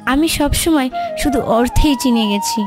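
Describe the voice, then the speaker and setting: A woman's voice: high-pitched, measured pace. A woman playing the sorrowful, remorseful ghost of a murdered young woman, plaintive and emotional in a dramatic cartoon story. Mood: regretful. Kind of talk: dramatic audio story acting. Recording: music under the voice.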